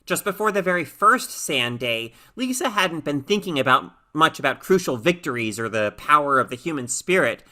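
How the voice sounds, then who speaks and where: A woman reading a short story aloud as an expressive, dramatic narrator. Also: low voice, moderate pace, hardly any pauses. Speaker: story reader.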